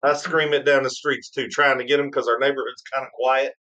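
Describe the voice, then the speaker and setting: A man's voice: medium pitch, little pitch movement, a little fast, pushed and bright, highs cut off. A man hosting a bourbon tasting live stream, chatting eagerly and rambling through his stories. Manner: enthusiastic, rambling storyteller. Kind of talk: casual live-stream banter.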